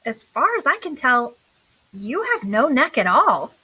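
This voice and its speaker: Woman as an earnest, high-voiced furry pet. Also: big pitch swings, measured pace, pushed and bright, breathy edge, heavily acted, low-quality recording. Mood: puzzled, reasoning. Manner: polite, careful, matter-of-fact. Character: earnest, literal-minded furry male pet animal. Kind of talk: children's storybook character voice.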